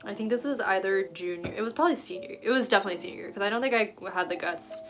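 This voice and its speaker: A woman's voice: medium pitch, rapid-fire, a little hiss or hum. A woman in her twenties chatting casually to camera as a vlogger, filling her sentences with "like" and small laughs, raised speaking Chinese at home. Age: 20s. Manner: chatty, heavy use of "like", giggly asides. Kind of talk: casual chat.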